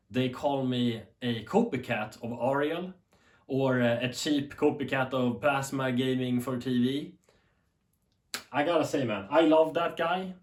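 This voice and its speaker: A young man from Sweden with a Swedish accent, talking unscripted to camera in a rambling, earnest way that often corrects itself. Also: medium pitch. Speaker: tech YouTuber. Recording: echoey room.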